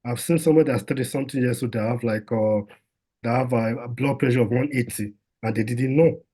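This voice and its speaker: A man's voice: medium pitch, a little fast, dark tone, husky. A man, a doctor, giving an informal health talk in a conversational way, with lots of fillers and restarts.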